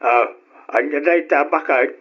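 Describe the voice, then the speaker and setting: A man playing an elderly, affectionate, easygoing father in an old radio drama, with a husky voice. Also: fairly high pitch, unhurried, muffled recording, a little hiss or hum, close to an everyday voice. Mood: cheerful.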